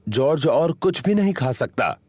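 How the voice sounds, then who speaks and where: A man's voice: on the high side, big pitch swings, normal speed, a little mellow, no room sound. A man voicing a friendly storybook narrator, reading scripted narration for a children's cartoon. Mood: friendly, explanatory. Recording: cartoon music behind narration.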